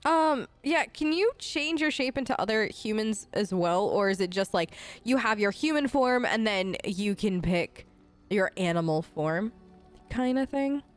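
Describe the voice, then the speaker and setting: A woman's voice: mid-range voice, lively intonation, a little slow, faint music behind. A woman improvising casual in-character chat for a role-play let's play, informal and slangy. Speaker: gamer role-playing her elf character.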